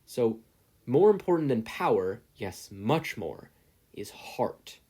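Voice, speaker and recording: A man's voice: medium pitch, very animated, slow, dark tone, husky, exaggerated voice. A man voicing a hearty, earnest older hero and kindly mentor, speaking in an emphatic, encouraging way for an audiobook. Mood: earnest, serious. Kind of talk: audiobook character voice.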